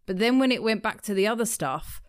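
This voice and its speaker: Tired woman rambling, smooth dry voice. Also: mid-range voice, animated, fairly quick, dry recording. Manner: rambling, self-deprecating, laughs often. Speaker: podcast co-host. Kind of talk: casual music review chat.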